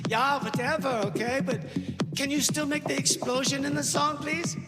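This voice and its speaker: Whiny, mean-minded band member for radio comedy, acted by a man, very high pitch, over blaring music. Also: fairly even intonation, normal speed. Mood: petulant. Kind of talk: shouted comedy radio skit. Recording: loud music behind.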